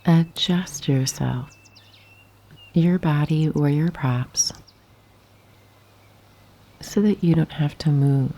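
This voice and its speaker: A woman guiding a yoga nidra meditation in a soft, breathy half-whisper, gently and calmly, with long pauses. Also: low-pitched. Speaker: meditation guide. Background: birdsong and nature sounds.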